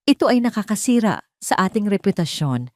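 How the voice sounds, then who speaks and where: A woman's voice: medium pitch, very animated, a little fast, a little mellow, dry recording, studio quality, pitched above the performer's own voice, close to an everyday voice. A woman voicing a stern, haughty mother who scolds her grown son in a hard, reproachful manner.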